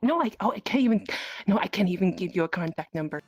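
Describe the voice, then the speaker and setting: A woman's voice: mid-range voice, expressive, quick pace, darkish tone, no echo, highs cut off, close to an everyday voice. A woman playing an earnest, knowledgeable dragon who gives friendly advice in a hesitant, rambling, repetitive way in improvised online roleplay. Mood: earnest, flustered. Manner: hesitant, rambling, repeats phrases. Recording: music behind the voice.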